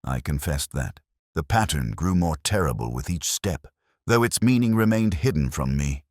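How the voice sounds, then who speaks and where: A man with an extremely low voice narrates a scripted detective audiobook in a formal, measured way. His pitch moves expressively from phrase to phrase, and the sound is dry. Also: expressive, a little mellow, no room sound. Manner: formal, measured, literary storytelling.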